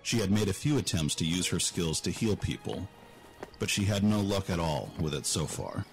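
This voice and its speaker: A man's voice: deep voice, animated, normal speed, faint music behind. A man giving scripted narration for a fantasy audio drama.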